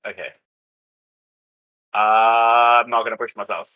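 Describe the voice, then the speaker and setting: A man playing a tabletop roleplaying game, talking through his moves casually but with deliberate, somewhat formal phrasing. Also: on the low side, steady tone, sharp tone, clean tone, low-quality recording.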